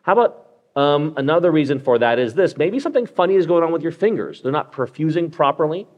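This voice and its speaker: A man's voice: fairly high pitch, animated, fast, dull audio. A man hosting a live medical podcast. He walks through clinical cases in a conversational way, with lots of fillers and check-ins with the listener.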